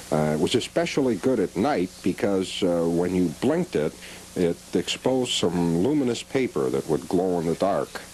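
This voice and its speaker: A man chatting on a television show, explaining collectible items in a measured way with frequent pauses. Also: sits low, darkish tone, low-quality recording. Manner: measured, explains at length with many "uh"s. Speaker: radio premium collector guest. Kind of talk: casual TV chat about collectibles. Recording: old 1980s cable TV audio with hiss.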